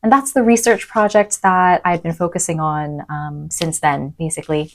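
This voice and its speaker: A young adult woman lecturer who grew up in East Africa, speaking thoughtfully in a podcast interview with frequent pauses and "um"s. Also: on the low side, fairly even intonation. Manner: thoughtful, soft-spoken, many "um"s. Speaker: university lecturer.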